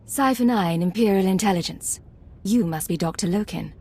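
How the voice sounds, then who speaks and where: An actress playing a commanding, composed secret agent in a video game, with controlled, clipped delivery. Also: female voice, mid-range voice, normal speed, darkish tone, slightly rough, natural voice. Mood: firm, probing. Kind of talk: dramatic game dialogue.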